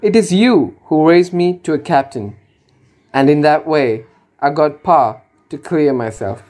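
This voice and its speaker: A man's voice: a little high, animated, measured pace, darkish tone. A man playing a poor, humble wandering rat trap seller in an amateur radio drama, speaking earnestly and politely. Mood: sincere.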